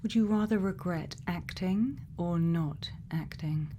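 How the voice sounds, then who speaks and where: Noticeable ambient noise sits behind a woman's hushed ASMR voice. She slips between breathy whispers and a soft, lowish murmur, taking her time with long pauses. She plays a gentle scientist putting questions to someone. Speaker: ASMR performer.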